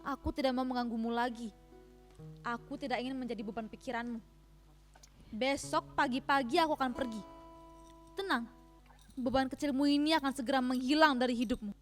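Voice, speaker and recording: An actress playing a hurt, proud wife in a dramatic reading, with emotional, reproachful theatrical delivery. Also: female voice, sits high, steady tone, fairly quick, long pauses, lightly acted. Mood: bitter resignation. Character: hurt, proud village wife in a failing marriage. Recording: music behind the voice.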